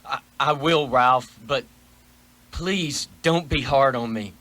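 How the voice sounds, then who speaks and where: A frightened miserly businessman, acted by a man in a radio drama. His voice is bright and tense at a middle pitch, with a theatrical, pleading, stammering delivery. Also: slow. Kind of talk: theatrical radio play acting.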